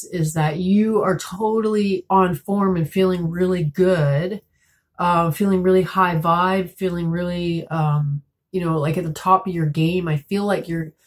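A woman in her thirties giving a tarot reading to camera at home, a bit congested and raspy from a cold, talking in a soft, musing way with many fillers. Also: fairly low pitch. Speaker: tarot reader. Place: small room at home, indoors.

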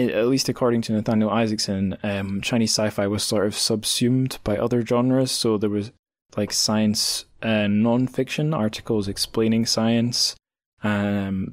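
A man with a fairly low voice talks as a podcast host. His delivery is informal and rambling, with a self-deprecating streak. The sound is dry and close. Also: a little mellow, no echo. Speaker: podcast host interviewing a guest. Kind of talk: casual, rambling podcast talk.

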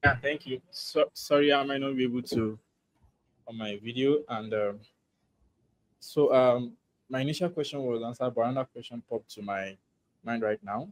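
A man's voice: medium pitch, moderate pace, no echo, rough recording. A man with a Nigerian English accent, asking a hesitant question with many fillers on a video call. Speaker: prospective student asking a question.

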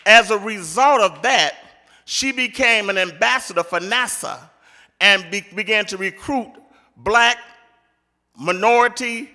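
A middle-aged man tells a story from a church podium through a handheld mic. He speaks slowly and brightly, quotes each person in turn, and trips over a word here and there. His voice rings through a large sanctuary with faint music behind him. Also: sits high, big-room sound. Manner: retelling a story with many quoted lines, some stammering. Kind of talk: storytelling speech. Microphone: handheld microphone at a podium.

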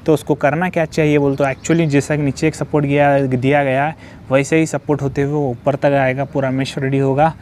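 A man's voice: medium pitch, level tone, brisk, darkish tone, a little hiss or hum. A man walking a building site, filming on a phone and explaining the work in a repetitive, explanatory way, dropping in English technical terms. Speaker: civil construction site vlogger. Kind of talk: walkthrough explaining a construction site. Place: outdoor construction site. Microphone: phone held while filming.

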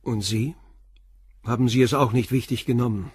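Actor as a probing, composed police commissioner. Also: male voice, mid-range voice, steady tone, breathy edge, no room sound, studio quality, natural voice. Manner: probing, measured questioning. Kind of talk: German crime radio play dialogue.

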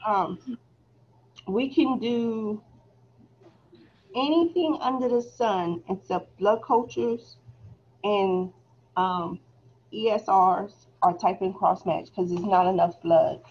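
Woman, soft-spoken, chatty instructor, slightly dull call audio, faint background noise. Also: mid-range voice, slow, pauses often. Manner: relaxed, chatty, laughs easily. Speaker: phlebotomy instructor. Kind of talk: casual review lecture over video call. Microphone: video call.

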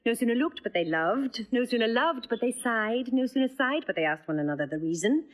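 A woman's voice: a little high, animated, close to an everyday voice. A woman playing a teasing young noblewoman. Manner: quick, teasing, bold. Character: witty young noblewoman in disguise, playful. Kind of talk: classical stage acting. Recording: dull lo-fi audio.

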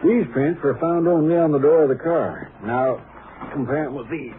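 An actor playing an easygoing, plain-spoken county sheriff in a 1950s radio western, with a folksy manner. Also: male voice, a little high, animated, moderate pace, lightly acted. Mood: matter-of-fact. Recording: muffled, slightly noisy vintage radio recording.

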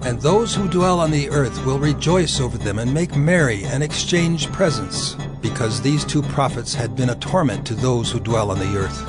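A male narrator with a medium-pitched voice reads scripture dramatically. His storytelling is solemn and deliberate, with loud music behind him. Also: animated.

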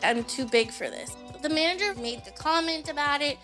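A woman's voice: high voice, normal speed, bright, forceful tone. A young woman talking candidly, as in a reality show confessional and on a date. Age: young adult. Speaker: dating reality show participant. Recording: music behind the voice. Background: background music.

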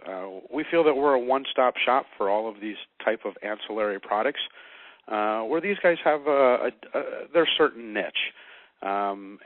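An American man answering interview questions as a sales manager, measured and pausing often as he explains. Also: on the low side, no room sound. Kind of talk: interview answers about products. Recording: narrow muffled phone line.

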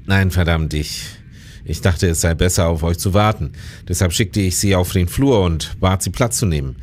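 A man's voice: deep voice, normal speed, a little mellow, a little hiss or hum, natural voice. A man acting in a crime radio play as a dutiful police officer who blames himself. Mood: distressed, regretful. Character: dutiful, self-reproaching male police officer. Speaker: radio drama actor.

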